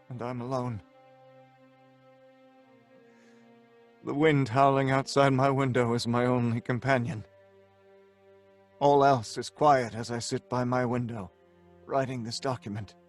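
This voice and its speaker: An actor playing a tormented, guilt-ridden scientist, earnest and dramatic, in old time radio horror. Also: male voice, medium pitch, close to an everyday voice. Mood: desolate. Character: tormented, guilt-ridden scientist telling his tragic story.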